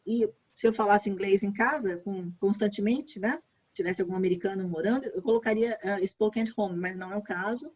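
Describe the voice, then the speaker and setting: A Brazilian woman walks through an online application form in a relaxed, chatty way, repeating the points she wants to stress. Her voice is a little husky, and the video call audio is dull and lo-fi. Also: mid-range voice, fairly quick. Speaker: education advisor. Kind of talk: online workshop walkthrough.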